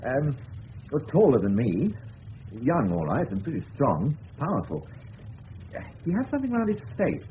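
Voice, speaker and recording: An actor playing a shaken, indignant middle-aged suburban father, halting and emphatic, with an English accent in a radio drama. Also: mid-range voice, wildly swooping pitch. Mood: grave, recalling. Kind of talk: 1970s radio drama acting. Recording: dull hissy old radio recording.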